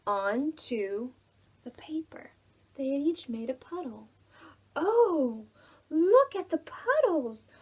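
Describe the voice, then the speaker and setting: Woman, children's storytime host, high-pitched, slow delivery, exaggerated singsong manner, read-aloud style. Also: breathy edge. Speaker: children's librarian hosting preschool storytime. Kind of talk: read-aloud storytelling and action rhymes for preschoolers.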